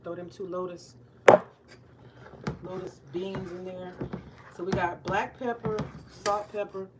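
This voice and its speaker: A woman's voice: lowish voice, fairly even intonation, unhurried, heavy background noise. A woman in her forties, a retired teacher, chatting candidly to viewers as she cooks in her home kitchen, joking and swearing freely. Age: 40s. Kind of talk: casual cooking vlog chat.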